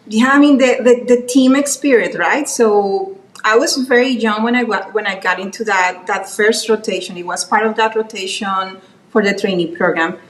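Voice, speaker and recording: A middle-aged woman from Colombia who learned English as an adult, speaking with a Colombian Spanish accent as an interview guest. She tells stories warmly and often restarts her sentences. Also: mid-range voice, normal speed. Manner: warm storytelling with frequent restarts. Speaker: guest, quality executive. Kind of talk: conversational interview. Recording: roomy echo, faint background noise.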